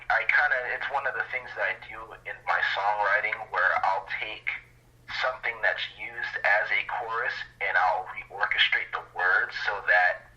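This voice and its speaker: Very bright, low-pitched man. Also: highs cut off. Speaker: guest poet and songwriter. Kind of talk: casual interview talk about own writing.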